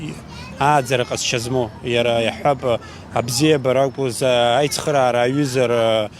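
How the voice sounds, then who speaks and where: A man's voice: mid-range voice, steady tone, unhurried. A man speaking off the cuff as an interviewee about his own work. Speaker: animated film director, interviewee. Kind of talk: unscripted interview. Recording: clear background noise.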